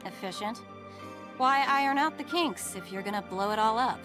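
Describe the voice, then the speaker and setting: A woman's voice: fairly high pitch, animated, normal speed, close to an everyday voice. A woman playing a skeptical, analytical scientist in a video game, speaking in a wry, matter-of-fact, colloquial way. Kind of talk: scripted video game dialogue. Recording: orchestral music behind the voice.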